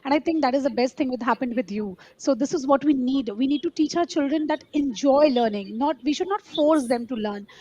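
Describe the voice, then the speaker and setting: Polite high-voiced woman host. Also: brisk, few pauses, mellow, a little hiss or hum. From India. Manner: polite, affirming, addresses guest as ma'am. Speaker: interview host. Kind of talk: interview questions. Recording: dull, muffled audio.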